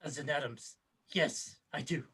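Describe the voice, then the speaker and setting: A man playing a nervous, secretive oddball uncle in a script table read, husky and hesitant. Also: a little high, measured pace, sharp tone, heavily acted. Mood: uneasy.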